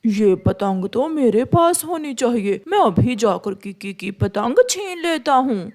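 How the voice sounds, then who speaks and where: A naughty little crow boy from a cartoon, played by a woman. The voice is high, childlike and whiny, with slow, swooping delivery. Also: very animated, a little mellow, heavily acted. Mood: scheming. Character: small frightened young male crow child, naughty. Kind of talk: Hindi children's cartoon voice acting.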